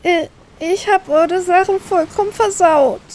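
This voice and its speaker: A woman's voice: very high voice, unhurried, a little mellow, pitched above the performer's own voice, poor audio. A woman voicing a dramatic young little sister who pouts and pleads in a whiny way. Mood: tearful. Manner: whiny pleading, exaggerated pouting.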